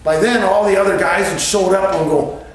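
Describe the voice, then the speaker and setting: A man's voice: high-pitched, steady tone, moderate pace. A middle-aged man casually telling a story in a colloquial, crude, joking way, recorded in a garage on a camera several feet away. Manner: colloquial, crude humor, chuckles as he tells it. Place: garage workshop, indoors. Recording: echoey, distant camera mic with faint noise.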